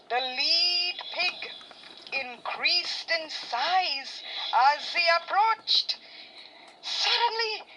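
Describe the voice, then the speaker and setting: A woman with a Trinidadian accent reading a folk tale as a storyteller, dramatic and suspenseful with deliberate phrasing. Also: sits high, pushed and bright. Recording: clearly noisy background.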